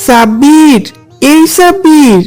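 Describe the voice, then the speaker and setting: A woman's voice: high voice, lively intonation, slow pace, darkish tone, faint music behind. A woman voicing an earnest, polite young schoolboy in a children's moral cartoon. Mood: prodding, concerned.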